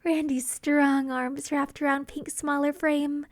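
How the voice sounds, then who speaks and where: A woman narrating a scripted romantic story in an expressive, theatrical storytelling manner. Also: high-pitched, takes it slowly, no echo, clean studio sound. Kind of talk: scripted dramatic fan fiction narration.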